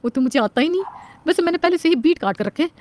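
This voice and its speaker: A woman playing a sharp-tongued, shrewd older housemaid in a family story, saucy and always talking back. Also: high-pitched, lively intonation, rapid-fire, no echo, pitched above the performer's own voice. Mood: cheeky.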